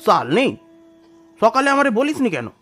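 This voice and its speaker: A man's voice: very high voice, sing-song, moderate pace, pushed and bright, faint music behind, heavily acted. A man playing a poor, weary peasant father in an audio drama, rustic and emotional, tender but hot-tempered. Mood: furious, scolding. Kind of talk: dramatised audio story acting.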